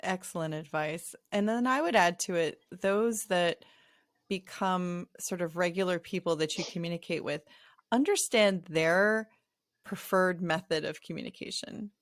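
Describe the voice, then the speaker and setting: A woman's voice: lowish voice, measured pace, dry recording, clean studio sound. Chatty middle-aged woman podcast host. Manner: chatty, animated storytelling with playful asides. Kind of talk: conversational interview.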